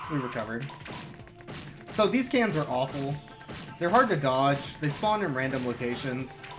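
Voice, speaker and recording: A young man casually commentating his speedrun of a game, explaining tricks with wry, self-mocking asides. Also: mid-range voice. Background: game music.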